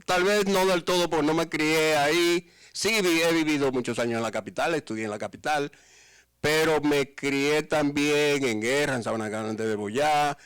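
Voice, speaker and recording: Dominican man in his 50s, calm guest talk, smooth voice, dry close sound. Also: a little high, dry recording. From Dominican Republic. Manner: calm, measured, good-humored. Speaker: guest, teacher. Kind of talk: interview answers.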